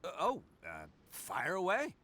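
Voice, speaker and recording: A man's voice: sits high, huge pitch swings, unhurried, pushed and bright, no echo, heavily acted. A man voicing a brash, cocky, hot-blooded young oni fighter in a video game, with boisterous swagger. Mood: confident. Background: game music.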